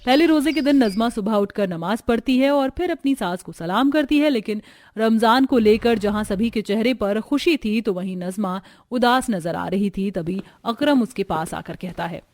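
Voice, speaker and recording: A woman reading scripted narration for an animated family story, in a storytelling style. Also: fairly high pitch, moderate pace.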